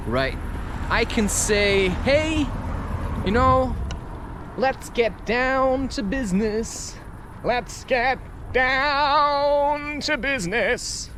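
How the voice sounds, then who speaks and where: A high-voiced man talks very slowly and haltingly, as if thinking aloud to his listeners. He leans on phrases and repeats them in a confessional ramble. There is some outdoor traffic noise behind him as he walks. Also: fairly bright tone. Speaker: vlogger recording a spoken-word podcast. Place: outdoors, recorded while walking.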